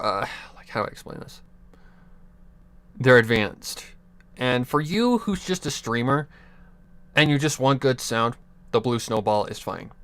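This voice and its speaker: A man's voice: mid-range voice, creaky, a bit bright, no room sound. A young man casually chatting on a livestream, giving rambling advice with restarts, chuckles and offhand swearing into a USB desk mic. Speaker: streamer. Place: home computer desk.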